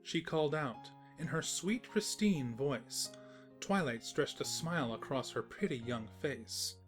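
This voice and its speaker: A man playing a teasing, sentimental, gentlemanly storybook narrator in a fan fiction audio drama. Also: on the high side, lively intonation, a little slow, faint music behind. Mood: sincere, courtly.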